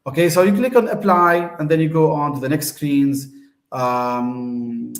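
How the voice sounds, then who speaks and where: A man's voice: a little high, measured pace, echoey room. A man from Tunisia, host of the show, giving a casual, hesitant explanation full of fillers and restarts.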